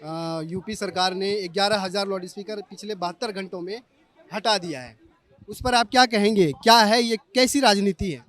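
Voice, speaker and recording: A man's voice: high-pitched, moderate pace, a bit bright, dry recording. A man working as a street reporter, putting questions to members of the public in vox pop interviews.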